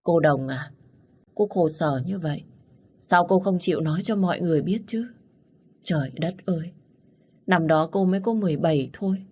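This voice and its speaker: A woman's voice: on the low side, normal speed, pauses often, mellow, natural voice. A woman voicing a tearful older housekeeper who laments and scolds through her crying. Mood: lamenting.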